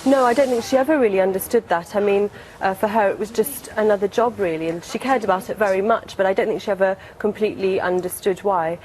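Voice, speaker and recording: A British woman in her twenties chatting casually as an interview guest, softening what she says as she goes. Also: mid-range voice, quick pace, hardly any pauses, poor audio. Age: 20s.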